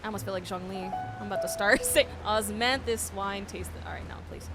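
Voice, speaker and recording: A woman's voice: medium pitch, fairly quick. A woman giving casual, chatty, playful reaction commentary as she plays a game. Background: game music.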